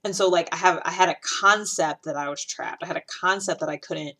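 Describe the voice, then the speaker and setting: A woman's voice: lowish voice, brisk, pushed and bright. A woman hosting a casual talk, chatty and thinking aloud with many fillers, restarts and light laughs. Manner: laughs often between thoughts. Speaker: host.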